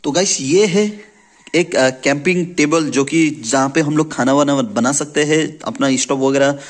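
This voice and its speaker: A man's voice: a little high, a little slow, no echo. Chatty man reviewing gear to camera. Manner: chatty, many fillers and restarts, addresses viewers as guys. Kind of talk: casual product unboxing vlog. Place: indoors at home, later on an open rooftop outdoors.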